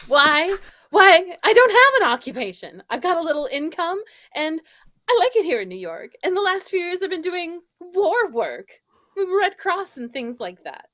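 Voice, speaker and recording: A woman's voice: extremely high pitch, sing-song, moderate pace, sharp tone, exaggerated voice. A woman playing a flustered, defensive female murder suspect in an old-time radio mystery, with an indignant, exaggerated period delivery. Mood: airy, evasive.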